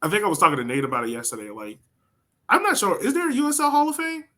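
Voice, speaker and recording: A man's voice: sits high, wildly swooping pitch, rapid-fire, fairly bright tone. A man co-hosting a soccer podcast, talking in an informal, slangy way and telling stories casually. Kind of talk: casual sports chat.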